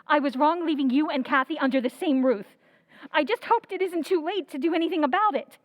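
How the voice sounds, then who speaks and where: A woman's voice: high voice, fairly quick, dry recording, natural voice. A woman playing an earnest elder sister in an old-time radio drama, speaking in an indignant, demanding way.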